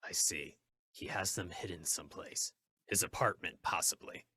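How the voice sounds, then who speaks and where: An actor playing a stern, righteous masked crime fighter in an old-time radio drama, with formal, deliberate, commanding phrasing. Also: male voice, low voice, pushed and bright, a little hiss or hum. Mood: stern, probing.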